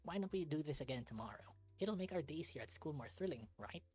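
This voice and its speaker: A let's-play host voicing a warm, encouraging high school club leader in a visual novel. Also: animated, fairly quick, husky, soft background music.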